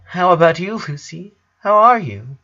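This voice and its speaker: A man voicing a sweet, affectionate eleven-year-old cartoon boy who talks gently and dotingly, on a dull recording. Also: high-pitched, sing-song, moderate pace, pitched above the performer's own voice, exaggerated voice. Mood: doting, tender. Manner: gentle, doting talk to little siblings.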